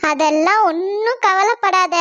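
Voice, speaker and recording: A woman's voice: very high voice, measured pace, sharp tone, lo-fi sound, heavily acted. A woman voicing Jakku, a greedy male bird who steals eggs, in a blustering, dismissive manner. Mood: boastful. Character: greedy male bird, egg thief, gruff.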